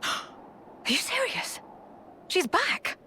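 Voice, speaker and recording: An actress playing a playful, battle-hungry commander in a video game, with theatrical, teasing, jaunty delivery. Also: female voice, extremely high pitch, very animated, drawn-out words, pushed and bright, slight background noise, exaggerated voice. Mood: gleeful. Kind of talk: video game cutscene acting.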